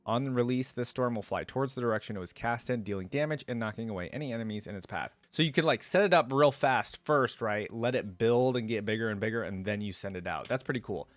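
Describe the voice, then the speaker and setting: A man with a mid-pitched voice talks like an enthusiastic reaction host, informal and unscripted. He thinks out loud as he comments. The sound is dry, with very little room in it. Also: no room sound. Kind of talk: casual reaction commentary with some reading aloud.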